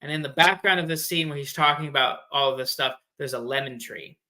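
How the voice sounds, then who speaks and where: A man's voice: a little high, a little fast, sharp tone. A man in a casual fan discussion, explaining things in a slangy, playful way as a podcast guest.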